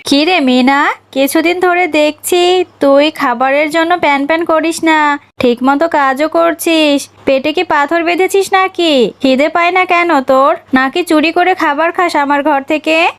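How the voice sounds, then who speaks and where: A woman's voice: high voice, unhurried. A woman voicing a cruel fox stepmother in a children's cartoon, with a harsh, taunting manner. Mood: suspicious.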